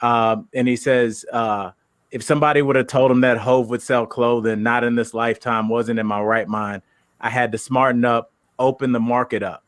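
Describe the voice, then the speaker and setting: A man's voice: mid-range voice, steady tone, normal speed, no room sound. A man co-hosting a podcast, asking questions and joking around. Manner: joking, laughs often. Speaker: podcast co-host. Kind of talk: casual podcast interview.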